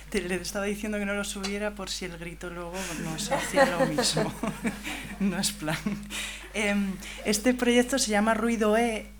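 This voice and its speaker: A woman's voice: medium pitch, slight background noise. A woman on a round-table panel in a theatre press room, explaining her art project in a thoughtful way with light laughs.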